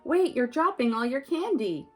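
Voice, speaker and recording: A woman's voice: sits high, very animated, normal speed, a little mellow, faint music behind, voice pushed up. A woman voicing a friendly, eager little girl dressed as a ghost in a storybook reading. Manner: kind, eager.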